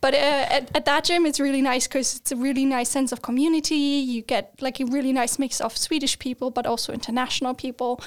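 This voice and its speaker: A woman's voice: high voice, steady tone, fairly quick, hardly any pauses, clear, resonant tone. A woman in her twenties, a student guest, talking casually with lots of fillers and laughter. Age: 20s. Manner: chatty, laughs often, many fillers and restarts. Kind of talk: casual podcast chat.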